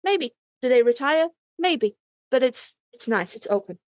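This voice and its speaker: A woman's voice: sits high, lively intonation, a little slow, no room sound, studio quality. A woman in her thirties co-hosting a podcast with casual, playful, exclamatory banter. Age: 30s. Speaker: podcast co-host.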